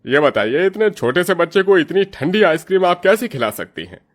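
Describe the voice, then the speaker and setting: Man acting stern higher-pitched male doctor. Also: very animated, brisk. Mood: stern, reproachful. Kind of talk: animated Hindi moral story dialogue.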